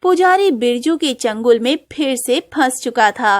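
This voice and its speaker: A woman narrating a scripted animated folk tale as its storyteller. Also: high-pitched, a little slow, no room sound, studio quality.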